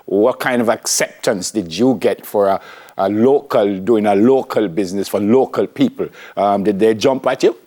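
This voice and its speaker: A man's voice: lowish voice, moderate pace, warm tone. A man with a Jamaican accent hosting a morning TV show, asking questions in a chatty, jovial way. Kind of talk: TV interview.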